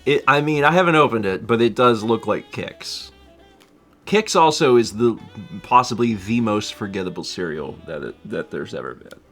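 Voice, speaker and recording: Lively bright-voiced man in his thirties. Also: fairly low pitch, normal speed, soft background music. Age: 30s. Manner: rambling, self-deprecating, laughs often. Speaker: livestream co-host. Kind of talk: casual chat reading viewer comments.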